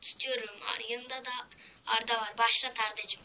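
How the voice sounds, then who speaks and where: A video host giving casual reaction commentary while filming a cartoon on a screen with a phone, talking in quick, chatty, apologetic asides. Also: medium pitch, little pitch movement, heavy background noise. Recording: phone recording with cartoon music behind. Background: cartoon soundtrack playing.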